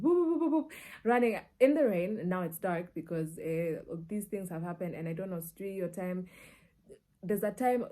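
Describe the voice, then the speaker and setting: A woman with a Kenyan accent telling personal stories casually and expressively, slipping between languages and acting out dialogue. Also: fairly low pitch. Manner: expressive, acts out dialogue and reactions. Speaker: media personality and storyteller. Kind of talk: casual personal storytelling, mixing in Swahili.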